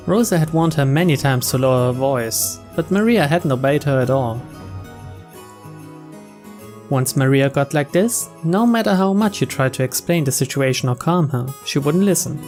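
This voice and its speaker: Male narrator, fairly high register, reading visual novel prose over soft guitar music. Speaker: let's play narrator reading game text aloud. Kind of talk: scripted narration.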